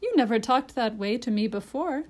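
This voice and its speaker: Gentle, inquisitive gentleman doctor, voiced by a woman for a children's story, expressive pitch. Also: moderate pace. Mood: astonished. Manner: polite, inquisitive. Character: mild, curious, kindly male doctor.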